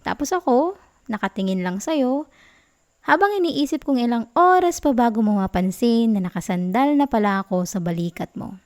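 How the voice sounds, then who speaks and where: Female actor for young Filipino girlfriend, ASMR roleplay. Slightly high pitch, soft, affectionate, pouty, pleading for attention. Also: expressive, moderate pace, no echo, natural voice. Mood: sulky, pouting. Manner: soft, whiny, affectionate pleading with sighs and giggles. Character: sweet, clingy young girlfriend, gently sulking for attention.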